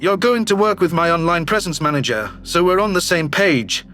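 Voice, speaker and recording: A man voicing a shrewd, businesslike male alien governor in an audio story, brisk and authoritative. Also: sits high, lively intonation, pushed and bright, faint music behind. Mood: brisk, commanding.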